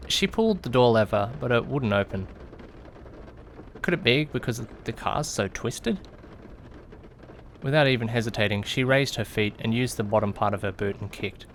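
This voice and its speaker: A man's voice: mid-range voice, expressive, normal speed, long pauses, slight background noise, close to an everyday voice. A man playing an anxious, easily panicked young man who narrates his own misadventure in an audio drama, dramatic and questioning himself. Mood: tense narration. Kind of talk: first-person audio drama narration with acted dialogue.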